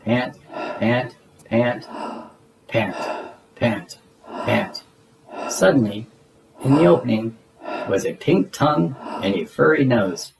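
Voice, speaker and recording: A man reading a children's storybook aloud in a theatrical, deliberate storytelling manner. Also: mid-range voice, gravelly, noisy background. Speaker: storybook reader. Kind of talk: expressive children's read-aloud narration.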